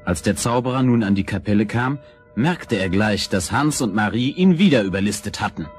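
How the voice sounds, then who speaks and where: A man with a lowish voice tells a children's fairy tale. His narration is scripted, measured and expressive, with faint music behind it. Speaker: storyteller.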